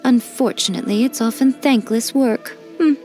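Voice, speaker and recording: An actress voicing a sly, elegant fox-spirit shrine priestess in a game, teasing and playfully condescending. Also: female voice, a little high, normal speed, a little mellow, faint music behind, natural voice. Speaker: game character. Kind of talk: studio game voice lines.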